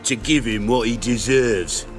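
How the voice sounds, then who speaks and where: An actor playing a menacing rustic gang leader in a video game, with rough, ungrammatical country talk. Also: male voice, medium pitch, a little slow, soft background music, natural voice. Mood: hostile, aggrieved. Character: menacing, rustic village thug leading a small gang. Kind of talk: video game cutscene acting.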